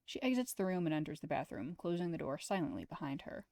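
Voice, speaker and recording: A woman streamer reading a visual novel aloud as expressive first-person story narration. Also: low voice, fast, vocal fry, no room sound, studio quality.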